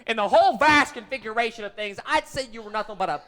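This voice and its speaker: A man's voice: extremely high pitch, animated, quick pace, sharp tone, full character voice. A young man playing an earnest, nervous young clerk in a live radio play, stammering and repeating words. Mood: indignant. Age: young adult. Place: theater stage.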